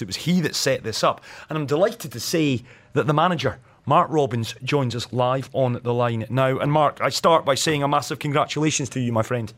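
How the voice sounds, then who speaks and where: A man with a Scottish accent hosting a radio sports show, upbeat and enthusiastic as he interviews a guest. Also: medium pitch. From Scotland.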